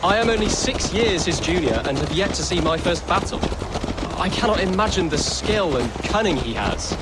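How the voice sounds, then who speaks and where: An earnest young nobleman talks in a high, lively English-accented voice. He is polite and inquisitive in a game-drama style, with heavy outdoor clatter behind him. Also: moderate pace, very noisy. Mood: earnest, fascinated. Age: young adult. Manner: polite, inquisitive, well-spoken. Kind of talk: video game dramatic acting.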